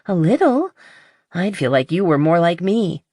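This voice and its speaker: A woman voicing an anxious, evasive young romantic heroine in an audiobook, halting and pleading. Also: lowish voice, very animated, darkish tone, husky, no echo, studio quality, pitched above the performer's own voice, full character voice. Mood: exasperated.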